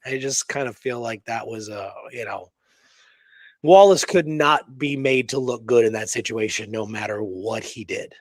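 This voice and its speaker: A man's voice: medium pitch, moderate pace, dry recording. Male history show co-host, close dry sound. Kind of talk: conversational history discussion with read-aloud documents.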